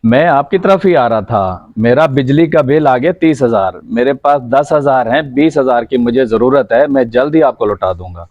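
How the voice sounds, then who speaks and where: A man's voice: medium pitch, hardly any pauses, darkish tone, close to an everyday voice. A man playing a humble, troubled uncle short of money in an amateur moral drama, plaintive and respectful. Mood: anxious. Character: troubled, humble, hard-up younger brother and uncle. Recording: slightly dull lo-fi sound.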